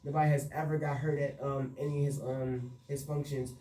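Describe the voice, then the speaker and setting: A man's voice: mid-range voice, flat delivery, a little fast, reverberant, recorded from a distance, slight background noise, poor audio. A young man, a party promoter speaking earnestly in a radio interview. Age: young adult. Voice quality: soft voice. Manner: earnest, frustrated storytelling with frequent fillers.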